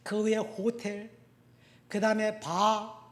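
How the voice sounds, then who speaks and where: A man's voice: sits high, flat delivery, slow. Emphatic pastor in his sixties, echoing hall. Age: 60s. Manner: emphatic, swinging from forceful declarations to hushed lines; repeats key phrases for the congregation to follow. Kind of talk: sermon to a congregation. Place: church sanctuary. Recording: echoey hall with long reverb.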